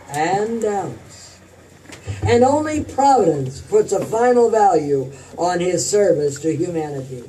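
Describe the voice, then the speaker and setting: An actor playing a polished 1940s radio producer who hosts and narrates a drama broadcast. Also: male voice, sits high, warm tone, distant mic, a little hiss or hum, heavily acted, low-quality recording.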